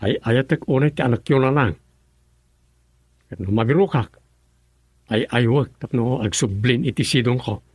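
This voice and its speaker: A man's voice: medium pitch, moderate pace, pauses often, slightly rough, dry recording, clean studio sound. A man with an Ilocano accent playing a distraught husband searching for his wife in a radio drama, agitated and questioning. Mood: distressed.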